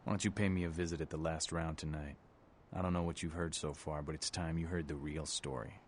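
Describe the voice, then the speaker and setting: A world-weary vampire rebel leader from a video game. He is low-pitched, terse and gruff, with a tough streetwise edge. Faint noise sits behind the voice. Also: fairly even intonation, fairly quick. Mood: weary, stern.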